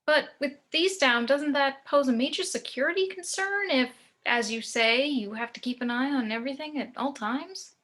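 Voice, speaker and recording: A woman playing a probing, smoothly casual undercover federal agent in an amateur script read. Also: high-pitched, moderate pace, few pauses, fairly bright tone.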